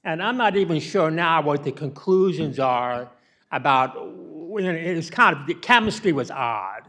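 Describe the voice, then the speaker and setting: A man's voice: a little high, lively intonation, unhurried, sharp tone. A middle-aged man asking a question from the audience, rambling and tagging his sentences with okay and all right. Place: conference ballroom. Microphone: handheld mic.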